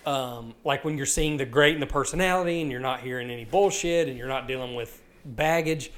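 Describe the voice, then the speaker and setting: A man in his twenties co-hosting a podcast, speaking frankly and swearing freely in relaxed chat. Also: mid-range voice, a little fast. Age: 20s. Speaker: podcast co-host. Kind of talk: casual chat.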